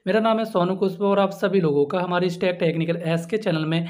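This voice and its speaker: A man's voice: high-pitched, fairly even intonation, fast talker, darkish tone. A man presenting a step-by-step phone app tutorial, repeating himself and addressing viewers as friends. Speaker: host of a tech tutorial channel.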